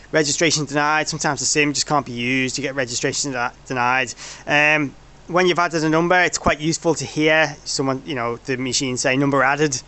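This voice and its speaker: A man with a moderately high voice talks through a technical demonstration. He is informal and somewhat rambling, often restarting and correcting himself as he explains how a system works. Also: fairly bright tone. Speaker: product developer presenting a demo.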